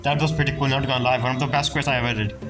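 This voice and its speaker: A woman's voice: rumbling low, fairly even intonation, very fast. A woman streamer giving casual gameplay commentary in short, scattered reactions. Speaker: game streamer. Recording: loud game music behind voice.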